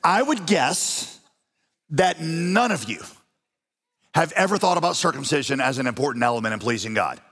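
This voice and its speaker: A man preaching with an animated, folksy delivery and touches of humor. His voice sits a little high for a man. It rings out through the long reverb of a large church auditorium. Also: normal speed, slightly rough. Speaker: pastor. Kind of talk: sermon.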